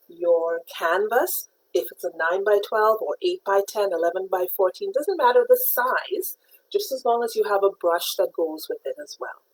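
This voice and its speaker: Patient woman teaching painting, breathy voice. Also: medium pitch, poor audio. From Trinidad. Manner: patient, step-by-step instruction; greets viewers brightly by name. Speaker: art teacher. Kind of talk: live-streamed painting lesson.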